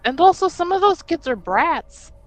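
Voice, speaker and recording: A woman's voice: very high-pitched, sing-song, moderate pace, no room sound, pitched above the performer's own voice. A woman playing a wry, fond adult relative who teases a child in a tabletop game.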